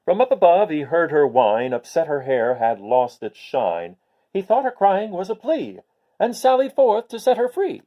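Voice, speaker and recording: A man reading a rhyming picture book aloud to young listeners in an expressive way. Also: fairly high pitch, moderate pace, dull audio. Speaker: children's story reader.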